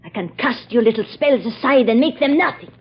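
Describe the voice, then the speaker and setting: An actress playing a proud, threatening mystic villainess with a breathy voice in an old radio thriller. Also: female voice, on the high side, expressive. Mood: proud menace. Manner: slow, intense, threatening. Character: menacing mystic woman villain, proud and defiant. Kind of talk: 1930s-40s radio drama acting. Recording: muffled old radio recording.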